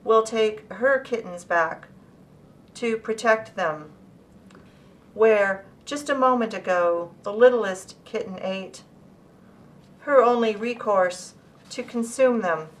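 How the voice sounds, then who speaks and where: A woman poet reading her own work aloud in measured, deliberate phrases. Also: mid-range voice, poor audio.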